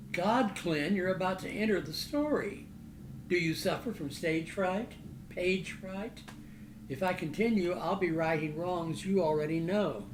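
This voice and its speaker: A man reading literary prose aloud as its author, in a declamatory, dramatic and deliberate manner. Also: high-pitched. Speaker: author reading from own novel. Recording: roomy echo, loud background noise.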